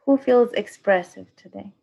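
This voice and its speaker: A woman's voice: on the high side, moderate pace, creaky. Dull-sounding recording of a woman hosting. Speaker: workshop host. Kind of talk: facilitating a participatory discussion.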